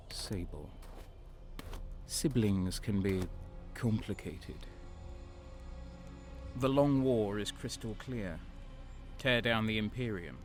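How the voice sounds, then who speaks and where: A man's voice: on the low side, fairly bright tone. A man playing a bitter, hardened traitor soldier, narrating an audio drama in the first person with a grim, brooding manner. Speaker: narrator and protagonist.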